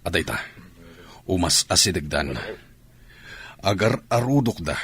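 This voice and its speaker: A man's voice: low voice, expressive, measured pace, a crowd in the background. A man playing a military commander in a radio drama, urgent and commanding in his delivery. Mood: grave, commanding. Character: male military commander leading soldiers into battle. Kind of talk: Ilocano comedy radio drama acting.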